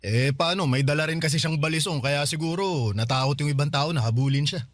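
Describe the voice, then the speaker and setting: A man's voice: a little high, a little fast, no echo, close to an everyday voice. An actor playing a friendly, modest and brave male neighbour in radio drama dialogue, with an easygoing, chuckling way of talking.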